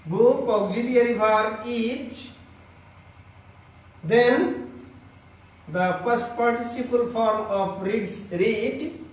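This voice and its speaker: Very slow talking guy teacher, high voice, explains grammar haltingly, super echoey. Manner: halting, instructional, with repeated check questions. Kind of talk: online grammar lesson, explaining to students. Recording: very echoey room, distant mic.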